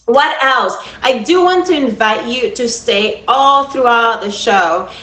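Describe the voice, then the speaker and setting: An upbeat Cuban-accented woman in her thirties chats directly to her livestream viewers in a lively, friendly way. Her voice sits a little high. The room gives the sound a clear echo. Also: slow. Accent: Cuban Spanish. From Cuba. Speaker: livestream host. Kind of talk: casual livestream talk to viewers. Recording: echoey room.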